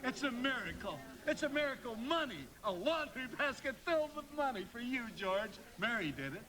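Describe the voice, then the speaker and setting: A man acting an excitable, marveling family friend in a live radio play from a 1940s script. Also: very high-pitched, moderate pace, heavily acted, low-quality recording. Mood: amazed. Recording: live stage with crowd behind.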